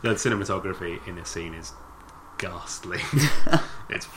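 A man's voice: low-pitched, steady tone, normal speed, background noise. A man co-hosting a casual film review chat, recapping the plot with sarcastic jokes, swearing and frequent laughter. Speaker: podcast co-host.

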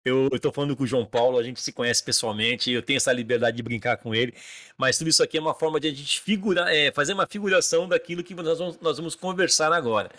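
A man's voice: medium pitch, fast, pushed and bright, no echo, studio quality. Teasing male podcast host. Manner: jokey, teasing, addresses listeners directly. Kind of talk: casual podcast interview.

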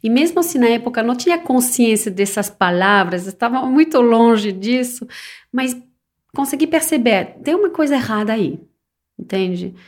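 A woman's voice: on the high side, animated, moderate pace. A woman from the south of France with a French accent, speaking Portuguese as a guest in a casual interview, thoughtful and searching for words. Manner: reflective, thoughtful, with restarts and self-corrections. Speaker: podcast guest, personal development coach. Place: São Paulo.

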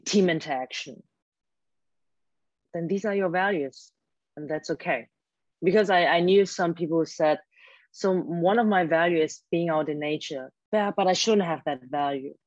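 A woman's voice: low-pitched, no room sound. A woman whose first language is German, a career coach talking earnestly in an interview and often restarting her words. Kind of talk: interview guest explaining advice. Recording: dull, dry lo-fi sound.

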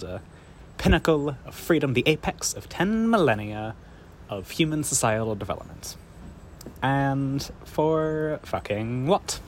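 A man's voice: medium pitch, a little hiss or hum. A man giving an impassioned political monologue in a bitter, sardonic, profane manner.

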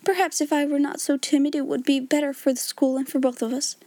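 A woman reader puts on a timid middle-aged sister who has finally rebelled. Her voice climbs high and runs on with overwrought, hysterical feeling. It is storybook acting, heard very dry and close. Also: steady tone, fast talker, warm tone, no room sound, lightly acted. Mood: timid, recklessly brave. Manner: breathless, emotional outpouring.

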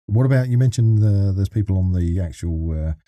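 Low, very dark-voiced older man. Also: fairly quick, no room sound. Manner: chatty, jokey, teasing. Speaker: podcast co-host interviewer. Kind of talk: casual interview chat about sci-fi.

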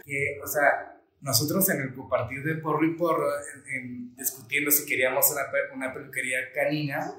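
Animated Venezuelan-accented man, echoey room. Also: mid-range voice, moderate pace. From Colombia, raised in Venezuela. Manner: animated storyteller, acts out quoted dialogue. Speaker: smoke shop co-owner guest. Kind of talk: casual podcast chat.

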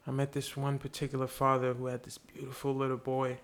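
A man's voice: mid-range voice, completely flat delivery, a little fast. A young man from Haiti, a podcast guest, telling a story earnestly in casual conversation and swearing freely.

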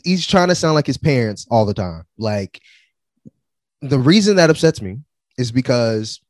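A man's voice: medium pitch, dry recording. Guy ranting about stuff, slangy and fired up, mid voice, dry sound. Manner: animated, opinionated ranting with slang. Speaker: wrestling podcast co-host. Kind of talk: casual chat.

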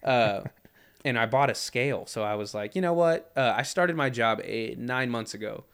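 A man in his twenties in casual podcast chat, animated and self-mocking, swearing and saying "dude" a lot. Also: mid-range voice, normal speed, clear, resonant tone. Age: 20s.

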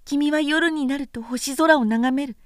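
A woman voicing a small boy prince from a storybook, gentle, wistful and tender, with soft childlike phrasing. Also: sits high, a little slow, no room sound, studio quality. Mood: tender, earnest. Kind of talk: storybook character voice in a literary recitation.

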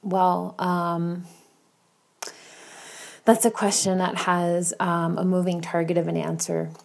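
A woman's voice: fairly low pitch, little pitch movement, slow, creaky. An American woman speaking in a casual, rambling, self-deprecating monologue recorded at home. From Bayonne, New Jersey, United States. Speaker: podcast guest contributor. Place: home kitchen.

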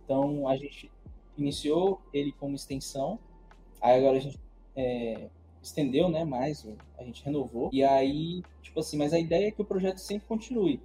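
Mellow young man. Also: on the high side, normal speed, soft background music. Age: young adult. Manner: loose, meandering explanations with many restarts. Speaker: co-host. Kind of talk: casual podcast chat.

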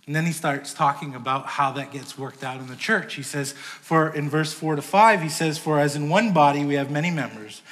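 Guy preaching a sermon, bright tense voice, long hall echo. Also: fairly high pitch, normal speed, few pauses. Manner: conversational preaching, dynamic swings in volume. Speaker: pastor.

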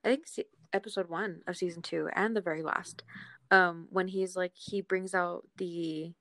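A woman's voice: fairly low pitch, steady tone, normal speed, a bit bright, no room sound. A woman co-hosting a podcast in casual, slangy chat about a TV series. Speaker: podcast co-host.

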